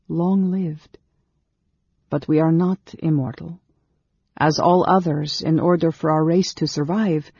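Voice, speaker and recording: A woman voicing an earnest, nervous woman of a long-lived secretive race in a storybook, speaking haltingly and with emotion. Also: deep voice, creaky, warm tone, slightly rough, dry recording, lo-fi sound, full character voice.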